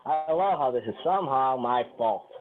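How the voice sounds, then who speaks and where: A man's voice: medium pitch, lively intonation, normal speed, lightly acted. A man reading a sarcastic, cynical, bitter male character in an amateur sitcom table read. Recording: tinny phone-like audio.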